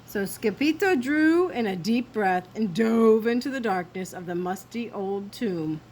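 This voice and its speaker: A woman storyteller reading a children's story aloud with expressive, theatrical emphasis. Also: mid-range voice, a little slow.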